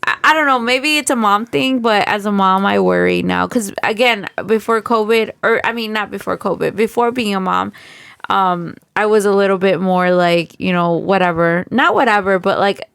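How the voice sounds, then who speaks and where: A woman co-hosting a podcast, her nose a little stuffy. Her voice comes through smooth and clear on a dry, close mic, and she chats loosely and laughs often. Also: medium pitch, a bit bright, dry recording. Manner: laughs often, talks in quick bursts with "like" and "you know". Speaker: podcast co-host. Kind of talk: casual chat.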